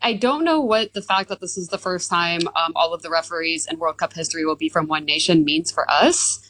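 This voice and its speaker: Hoarse woman, lowish voice, casual interview guest. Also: a little fast, fairly bright tone, no echo, poor audio. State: hoarse from screaming. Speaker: football fan and community organiser guest. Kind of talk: casual live interview talk.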